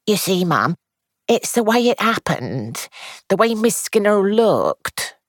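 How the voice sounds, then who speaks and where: A woman's voice: mid-range voice, very animated, slow pace, no echo, studio quality, pitched above the performer's own voice. A woman voicing a young, anxious housemaid who rambles on in breathless, deferential gossip. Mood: fretful.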